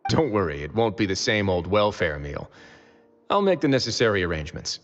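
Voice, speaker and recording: A man acting a composed, wry adult male warden in a video game, speaking in a measured, matter-of-fact way. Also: fairly low pitch, very animated, in a large hall, highs cut off, soft background music.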